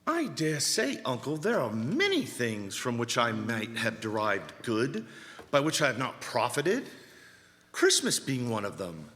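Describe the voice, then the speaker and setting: Man acting an animated, warm-hearted, cheerful nephew. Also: mid-range voice, a little slow. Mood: earnest. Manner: earnest, pleading, expressive. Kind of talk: live radio theater acting. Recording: roomy hall with long reverb.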